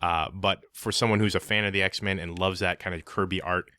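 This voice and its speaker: A man's voice: low voice, steady tone, brisk, pushed and bright, no echo. A man co-hosting a podcast, giving his opinions in a casual, conversational review style. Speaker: podcast co-host.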